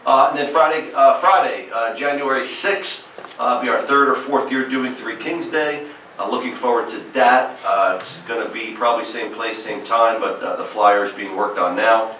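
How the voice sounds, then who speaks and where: Upbeat mayor in an echoey chamber. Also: male voice, mid-range voice, normal speed, sharp tone, slight background noise. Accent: New Jersey. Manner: upbeat, listing events with frequent "uh" fillers. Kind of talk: council meeting report. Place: large council chamber, indoors. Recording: echoey council chamber from far off.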